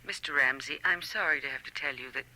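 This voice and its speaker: Messenger, radio drama: very forceful, sharp tone, formal and urgent, old cassette recording. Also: male voice, medium pitch, quick pace, rough recording. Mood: grave, urgent. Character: formal male messenger bearing grave news. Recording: 1980 radio drama, home cassette transfer.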